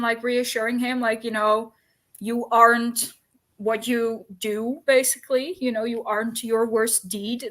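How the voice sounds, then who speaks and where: A woman's voice: fairly high pitch, a bit bright. A woman hosting a casual book discussion, speaking in a tentative, reflective way.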